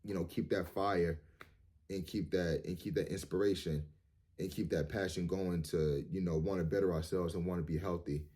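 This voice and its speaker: Deep-voiced man in his thirties, rambling. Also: fairly even intonation, normal speed, dark tone. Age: 30s. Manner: rambling, repeats words, many fillers; earnest, motivational. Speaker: vlogger. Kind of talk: casual monologue to camera.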